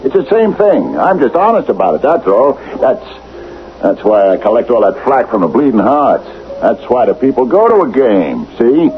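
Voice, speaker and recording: An actor playing a ruthless, hard-bitten football coach in a radio drama, with blunt, mocking, slangy talk. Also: male voice, medium pitch, very animated, normal speed, slight background noise, lightly acted. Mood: forceful, goading. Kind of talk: radio mystery drama acting. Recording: dull old radio recording with music.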